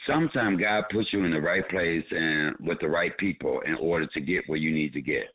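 A man with a deep voice, a comedian guest joking and teasing in a radio chat. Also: fairly quick. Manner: playful, teasing, laughs often. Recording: tinny phone line.

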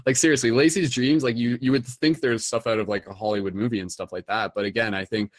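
A man's voice: medium pitch, fairly even intonation, quick pace, no room sound, clean studio sound. A young man hosting an online dream class, talking loosely with lots of "like" and "um". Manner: rambling, many "like" and "um" fillers. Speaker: host.

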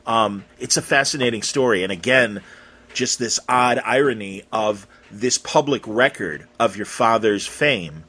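A man's voice: fairly low pitch, animated, a little slow, sharp tone. An American man from Chicago interviewing someone in a casual, informal way, musing and rambling as he goes. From Chicago, United States.

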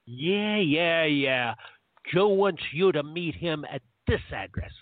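A man's voice: on the high side, animated, slow, no room sound. A man playing a grumpy, blunt, sarcastic errand man in a radio play, curt and exasperated. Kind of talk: hobby radio play acting. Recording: dull lo-fi audio.

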